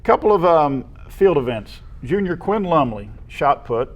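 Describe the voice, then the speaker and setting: A man's voice: fairly high pitch, big pitch swings, measured pace, dull audio. American man reeling off local sports stats. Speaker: co-host of a local high school sports show. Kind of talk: casual sports discussion, reeling off names and statistics.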